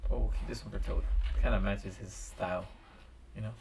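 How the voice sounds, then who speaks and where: A man with a raspy, tired voice chatting casually and rambling as he builds a game map on a stream, talking into a desk microphone. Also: lowish voice, steady tone, a little fast, darkish tone, slight background noise. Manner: rambling, thinks aloud with restarts. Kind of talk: casual commentary while building.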